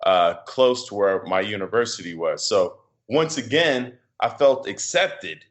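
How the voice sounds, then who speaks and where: A middle-aged man from Chicago with a deep voice, a podcast guest talking in a reflective, halting way with many fillers and restarts. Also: animated. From Chicago, USA. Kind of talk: casual interview conversation.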